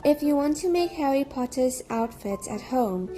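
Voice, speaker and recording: A woman's voice: high-pitched, animated, normal speed, over loud music. A female student reading scripted instructional narration for a craft tutorial.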